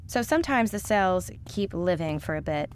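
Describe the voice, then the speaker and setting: An actress playing a cocky, wisecracking young woman engineer in an audio drama, sassy and brisk in explaining. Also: on the low side, animated, a little hiss or hum, lightly acted. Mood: matter-of-fact, teasing.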